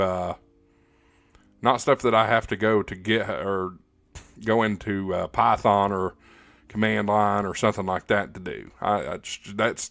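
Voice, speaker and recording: A man hosting a casual hobby livestream, answering comments in a halting, plain-spoken, opinionated way, often repeating words as he goes. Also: fairly low pitch, vocal fry, fairly bright tone, no echo. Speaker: livestream host, ham radio hobbyist.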